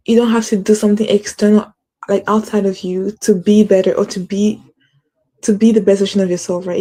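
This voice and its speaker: Mellow-voiced woman host who stutters. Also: medium pitch. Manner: stutters, uses many fillers; earnest, encouraging. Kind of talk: casual motivational talk.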